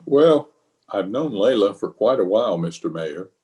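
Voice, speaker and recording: A man's voice: fairly low pitch, lively intonation, normal speed, darkish tone, close to an everyday voice. A man playing a pompous, flustered middle-aged bachelor uncle in an old-time radio comedy, exasperated and hemming and hawing. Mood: sheepish dismay.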